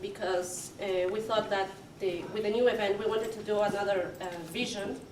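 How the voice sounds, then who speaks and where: A woman's voice: mid-range voice, fairly even intonation, darkish tone. A young woman from Argentina, speaking non-natively, introducing an art exhibition with hesitant, filler-heavy speech. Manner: hesitant, many fillers, slow. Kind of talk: casual talk at an exhibition. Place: exhibition hall with people around. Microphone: far, room mic. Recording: distant voice, noisy hall ambience.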